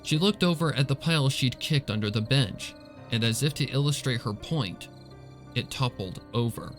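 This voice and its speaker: A man's voice: medium pitch, animated, moderate pace, faint music behind. A man reading a suspenseful story as narrator, in a scripted dramatic storytelling style. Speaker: fan fiction reader and narrator.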